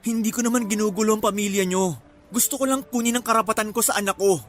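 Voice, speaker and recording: A man's voice: sits high, fairly even intonation, fast, fairly bright tone. A man playing an earnest young father in a radio melodrama, emotional and insistent, with a Filipino accent. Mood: earnest, tender. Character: earnest young father pleading to claim his secret child.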